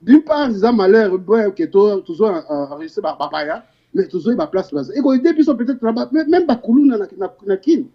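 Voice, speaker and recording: A man's voice: high-pitched, animated, fairly quick. A Congolese man calling in, casually explaining an idea in a hesitant, repetitive way while switching languages. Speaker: caller. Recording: muffled phone call.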